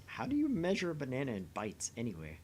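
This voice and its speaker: A man's voice: mid-range voice, wildly swooping pitch, quick pace, darkish tone, a little hiss or hum. A man doing an acted reading of dialogue and narration from a visual novel game. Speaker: voice reader in a visual novel playthrough.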